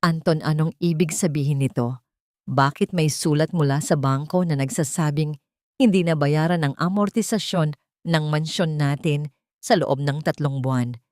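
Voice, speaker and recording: A woman's voice: sits low, moderate pace, a little mellow, dry recording, clean studio sound, close to an everyday voice. A woman voicing an angry, domineering elderly matriarch who scolds imperiously in a narrated family drama story. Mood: angry, alarmed.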